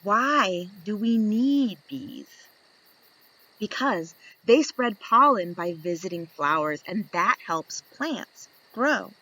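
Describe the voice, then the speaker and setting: A woman reading a picture book aloud to young children, expressive and playful, asking the listener questions as she goes. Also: medium pitch, slow pace, rough recording. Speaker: children's story reader.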